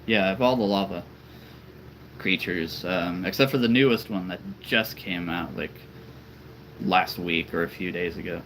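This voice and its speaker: Man, very deep voice, low-pitched, slow, rambling live-stream chat, faint noise behind. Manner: chatty and rambling, laughs often. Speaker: toy collector and channel host.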